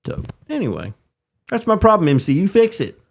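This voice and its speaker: A man's voice: high-pitched, wildly swooping pitch, brisk. A man co-hosting a podcast, talking casually about pop culture with friends. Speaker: podcast co-host.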